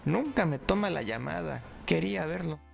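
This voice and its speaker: A man's voice: fairly high pitch, lively intonation, moderate pace, gravelly. A man voicing a desperate woman begging a politician for help, reading her chat messages aloud in a pleading way. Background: faint music.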